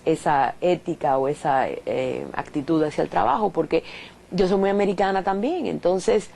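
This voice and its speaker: Thoughtful Cuban woman, low voice. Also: normal speed, creaky, mellow, slight background noise. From Cuba. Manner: thoughtful, frequent restarts and rhetorical questions. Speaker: professor, interviewee. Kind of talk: reflective interview answers.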